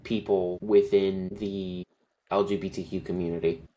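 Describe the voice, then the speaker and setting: A deep-voiced man hosts a relaxed interview and mostly listens, adding short affirmations. His voice sits very low, with a faint hiss behind it. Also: moderate pace, rough recording. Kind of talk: conversational interview.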